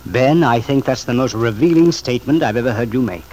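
An actor playing a principled bachelor uncle, earnest, measured and reproachful, in an old-time radio drama. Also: male voice, medium pitch, a little mellow, close to an everyday voice. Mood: accusing.

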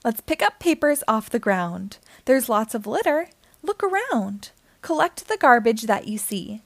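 Woman as a high-voiced smiling toothy crocodile. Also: sing-song, measured pace, no room sound, heavily acted. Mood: smiling, instructive. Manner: smiling, instructive. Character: lumpy toothy male crocodile, smiling but insistent.

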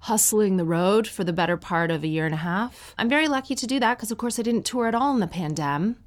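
A woman's voice: medium pitch, expressive, quick pace. A woman in her thirties from Canada, a touring comedian chatting as a guest with dry, self-mocking humor. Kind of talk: casual chat.

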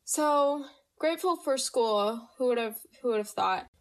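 A woman's voice: a little high, animated, unhurried. A young woman hosting a casual storytime podcast, chatty and rambling, sounding a little nasal from allergies. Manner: bubbly, chatty, rambling with fillers. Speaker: podcast host.

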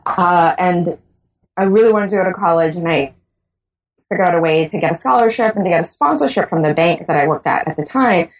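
A woman's voice: on the low side, brisk, rough recording. A woman from the Bahamas answering interview questions thoughtfully, with frequent restarts and fillers. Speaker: guest author.

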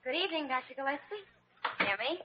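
An actress playing a doctor's affectionate, admiring sweetheart in a vintage radio drama. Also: female voice, sits high, sing-song, fairly quick. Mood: tender. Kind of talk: 1940s-50s radio drama acting. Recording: muffled, noisy vintage radio recording.

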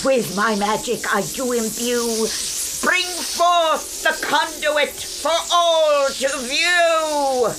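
A woman's voice: high voice, drawn-out words, hardly any pauses, noisy background. A woman playing an eccentric, mysterious sorceress in a fantasy audio drama, theatrical and commanding. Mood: grand, incantatory.

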